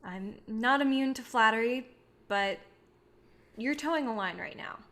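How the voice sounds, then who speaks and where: A woman's voice: a little high, a little slow, a bit bright. A woman acting in a staged play reading as an idealistic thirty-year-old filmmaker, guarded, wry and casual.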